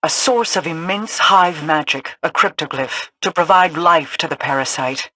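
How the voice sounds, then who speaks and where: A woman playing a regal, cryptic, commanding ally in a video game, with formal, measured delivery. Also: low voice, bright, forceful tone, no echo, low-quality recording. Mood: grave. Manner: formal, grave, measured, imperious.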